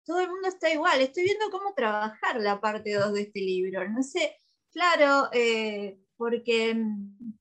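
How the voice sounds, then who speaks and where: A woman's voice: on the high side, expressive, unhurried, fairly bright tone. A woman with a Uruguayan accent, a radio co-host and comedian, chatting casually as the guest in a radio interview.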